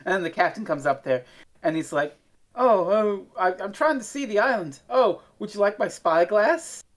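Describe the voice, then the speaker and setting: A woman's voice: mid-range voice, expressive, moderate pace. A woman co-hosting a podcast, chatting casually as she reviews a story. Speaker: podcast co-host.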